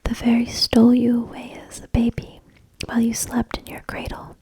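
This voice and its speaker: A woman voicing a wise, good old male hermit in a storybook, in a breathy, solemn, measured whisper. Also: on the high side, completely flat delivery, mellow, no room sound. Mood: solemn, guiding.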